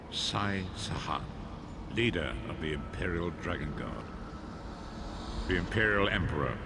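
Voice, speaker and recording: An older man playing a blind old prophet in a fantasy video game, frail and grave, speaking in solemn, measured, archaic phrases. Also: deep voice, very noisy. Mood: grave, foreboding. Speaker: story guide. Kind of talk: dramatic fantasy game narration.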